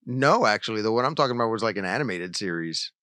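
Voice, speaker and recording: A man's voice: fairly low pitch, expressive, fast talker, a bit bright, no echo, studio quality. A man co-hosting a trivia podcast, chatting casually and reading out questions in an easygoing, good-humored way. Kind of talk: casual chat.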